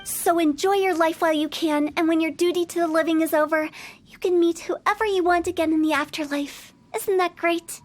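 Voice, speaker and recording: A woman's voice: extremely high pitch, fairly even intonation, fairly quick. A woman voicing a mischievous young woman who runs a funeral parlor in a video game, playful and slyly ominous. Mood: teasing.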